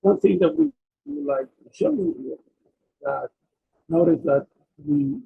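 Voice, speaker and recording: A man giving an explanatory academic slide presentation, speaking haltingly and often repeating and rephrasing himself. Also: extremely high pitch, huge pitch swings, vocal fry, breathy edge, phone-quality sound. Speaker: presenter of a technical talk.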